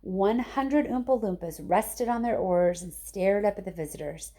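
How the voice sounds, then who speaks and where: A woman reading a children's storybook aloud with expressive narration. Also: mid-range voice, normal speed. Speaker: teacher reading a book aloud.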